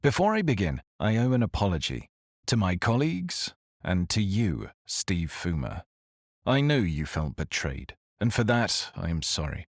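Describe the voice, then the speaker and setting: An actor playing an exiled male doctor who speaks in a calm, grave, formal monologue in a scripted audio drama. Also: deep voice, dry recording, studio quality, heavily acted. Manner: measured, formal confessional address. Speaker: narrator character.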